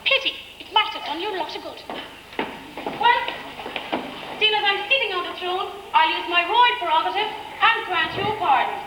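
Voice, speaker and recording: Woman as a high-voiced, cheeky young man. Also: animated, normal speed, background noise. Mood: teasing. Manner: teasing, mock-regal. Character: cheeky, self-assured young man, governess's son. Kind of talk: amateur stage pantomime acting. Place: village hall stage, live performance. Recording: echoey hall stage recording.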